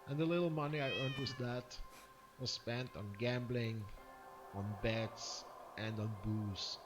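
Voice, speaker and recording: An actor with an Austrian accent playing a weary, sardonic ex-cop turned private eye in a game intro, with music behind. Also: male voice, medium pitch, steady tone, unhurried, close to an everyday voice. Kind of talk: hard-boiled game intro narration.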